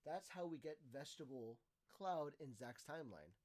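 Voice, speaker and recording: Male co-host, slightly high voice, stumbles and restarts while explaining. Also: expressive, a little mellow. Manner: halting, many fillers and restarts while explaining. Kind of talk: casual reaction chat.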